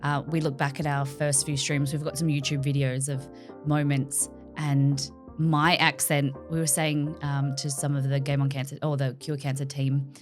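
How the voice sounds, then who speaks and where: A woman's voice: deep voice, flat delivery, normal speed, soft background music. A woman with an Australian accent, chatting casually as an interview guest about her cooking streams, speaking with careful articulation.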